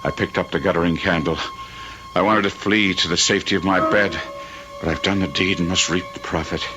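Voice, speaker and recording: Man acting a deep-voiced, tormented murderer. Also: fairly even intonation, brisk, noisy background, lightly acted. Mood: haunted, tense. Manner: brooding, theatrical, intense. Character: tormented murderous man, desperate, narrating his own crime. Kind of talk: old-time radio drama acting, first-person narration. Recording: old radio drama with music behind.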